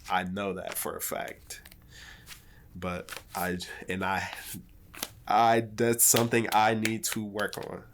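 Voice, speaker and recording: Deep-voiced laid-back young man. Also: completely flat delivery, slow, fairly bright tone, slight background noise. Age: 20s. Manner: laid-back, swears freely, rambling. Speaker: podcast guest. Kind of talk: casual podcast chat.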